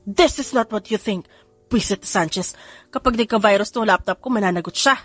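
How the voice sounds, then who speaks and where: A woman's voice: mid-range voice, moderate pace, no room sound, lightly acted. A woman voicing an irritable young male hacker in an audio drama, blunt and defensive, over music. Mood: seething. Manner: indignant, blunt, mixing Tagalog and English.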